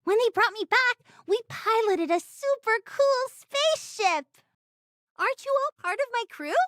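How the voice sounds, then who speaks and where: A woman's voice: extremely high pitch, expressive, unhurried, fairly bright tone, no echo, clean studio sound, heavily acted. A woman voicing a plucky, earnest and determined child pirate captain in an anime game. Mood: bold, bossy.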